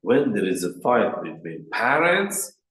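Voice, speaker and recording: Slow, deep-voiced rabbi with a Persian accent. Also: male voice, sing-song, a bit bright, reverberant. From Iran. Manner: plain short sentences, acts out quoted voices at higher pitch. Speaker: rabbi giving a marriage workshop. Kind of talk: workshop lecture.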